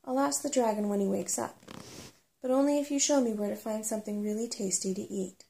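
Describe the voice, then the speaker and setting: Woman reading as a bossy, creaky-voiced little brownie. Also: medium pitch, fairly even intonation, dark tone, slight background noise, close to an everyday voice. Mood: sceptical. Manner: brisk, sceptical, blunt. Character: bossy, impatient female brownie with paws and claws. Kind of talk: storybook character reading.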